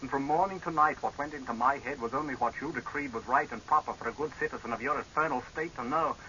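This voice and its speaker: A man's voice: mid-range voice, fast, pushed and bright, close to an everyday voice. Through the hiss of a muffled 1930s radio horror play comes a man acting as a vengeful citizen rebelling against a tyrant. His voice is piercingly tense and hard-edged, with a bitter, impassioned, melodramatic delivery. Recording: hissy, tinny-dull 1930s radio recording.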